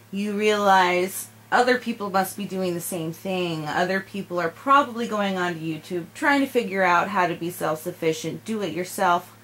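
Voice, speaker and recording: A woman's voice: mid-range voice, fairly bright tone. Young woman, student interviewer, encouraging, recaps answers, home library. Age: young adult. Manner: encouraging, summarizes answers, frequent "mm-hmm". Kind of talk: interview questions. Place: home library with bookshelves and fireplace.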